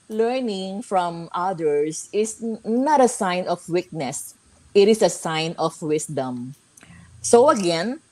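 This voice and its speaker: A woman with a lowish voice speaks very slowly as she gives a motivational talk. Her delivery is earnest and halting, and she circles back to repeat points. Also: warm tone, poor audio. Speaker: guest speaker on a livestream.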